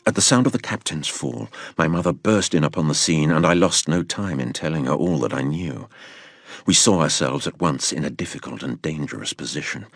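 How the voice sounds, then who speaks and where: A man playing a grave narrator who recounts his boyhood adventure in measured, literary storytelling for an audio drama. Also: a bass voice, creaky, no echo, studio quality, full character voice. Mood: grave, suspenseful.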